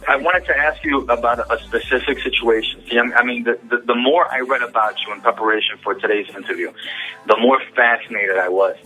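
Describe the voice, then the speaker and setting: A male host speaks in a husky yet bright voice. He interviews conversationally, often repeating the start of a phrase before moving on. Faint music runs behind the phone interview. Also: medium pitch, poor audio. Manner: halting, repeats phrase openings.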